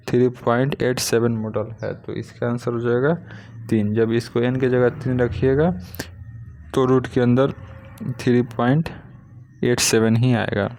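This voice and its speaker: A man's voice: medium pitch, darkish tone. Man teaching exam questions methodically. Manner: methodical, reads each question then states the option. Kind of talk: reading practice questions and giving answers.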